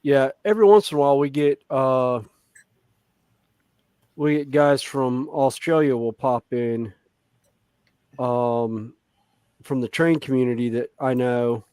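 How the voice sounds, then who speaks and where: A man from the Deep South hosting a casual group chat and telling laid-back anecdotes. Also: slow pace, long pauses, darkish tone, clear, resonant tone, dry recording. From American Deep South.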